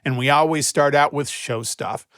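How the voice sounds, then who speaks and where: A man's voice: on the high side, normal speed, a bit bright, clear, resonant tone, no echo, studio quality. A man hosting a podcast in casual chat, joking and teasing his co-host. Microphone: podcast microphone.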